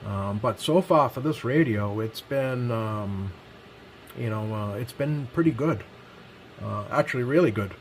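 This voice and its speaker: A man's voice: medium pitch, a little slow, slight background noise. A man giving a casual, off-the-cuff hands-on radio review, speaking hesitantly and often repeating himself. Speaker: product reviewer.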